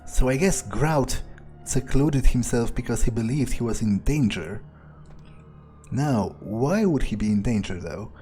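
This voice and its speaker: A man giving in-character game commentary, musing aloud in a halting, sardonic and profane way. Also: medium pitch, expressive, warm tone, faint music behind. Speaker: gamer playing in character.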